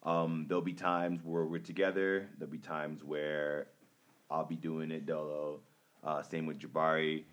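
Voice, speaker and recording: Very deep, flat-voiced male host. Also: a little slow. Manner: laid-back, many filler words. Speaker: podcast host. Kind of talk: casual podcast talk.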